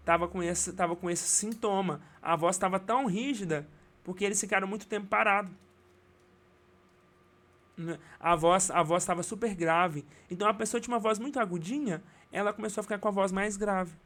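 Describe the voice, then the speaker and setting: A man with a Brazilian Portuguese accent teaching singing in a live online class, talking casually with many restarts and quick checks. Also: sits high, fairly bright tone. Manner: casual and rambling, many restarts and tag questions.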